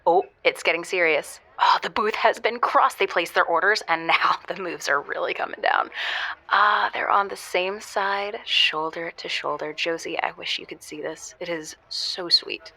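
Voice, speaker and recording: A gravelly, flirtatious radio caller. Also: female voice, fairly low pitch, moderate pace, sharp tone, exaggerated voice. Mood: gleeful, teasing. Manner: teasing, gossipy. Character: flirtatious, playful woman calling in, gleefully narrating a date. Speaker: actor playing a caller to a radio show. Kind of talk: audio fiction acting.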